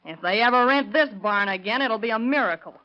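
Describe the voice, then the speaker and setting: An actress playing a suspicious, fed-up housewife, brusque and complaining, in 1930s radio crime drama style. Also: female voice, a little high, brisk, lightly acted. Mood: confiding, wry. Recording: dull lo-fi vintage radio recording.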